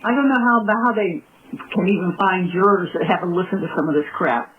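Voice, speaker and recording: A woman's voice: fairly low pitch, fairly quick, crowd noise. A middle-aged woman talking casually on a recorded telephone call. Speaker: mother on a jail phone call. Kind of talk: casual phone conversation. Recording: noisy, muffled recorded phone line.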